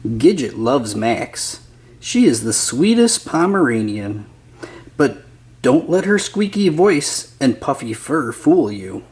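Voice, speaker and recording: A man's voice: medium pitch, sing-song, takes it slowly, darkish tone. A man reading a children's picture book aloud as a scripted narrator.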